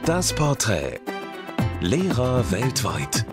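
A man announcing a broadcaster's program ident in a slow, drawn-out way. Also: medium pitch, huge pitch swings. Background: loud music.

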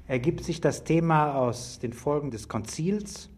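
A man's voice: on the high side, a little slow, darkish tone, breathy edge. A man putting formal, polite questions to a guest as an interviewer. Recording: noticeable background noise, old interview recording.

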